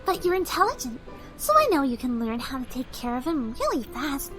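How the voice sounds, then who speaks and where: A woman voicing a shy, gentle cartoon pony mare who speaks for animals, soft, polite and hesitant. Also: high voice, big pitch swings, brisk, faint music behind, low-quality recording. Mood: timid, kind. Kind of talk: cartoon fan audio drama acting.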